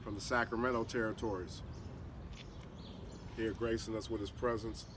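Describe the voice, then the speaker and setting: A man's voice: on the low side, fairly even intonation, moderate pace. Nasal man hosting outdoors with a cold. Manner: rambling with many fillers. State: head cold, a bit nasal. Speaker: host. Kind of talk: casual interview introduction. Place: outdoor park in a city. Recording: distant mic outdoors with clear street noise.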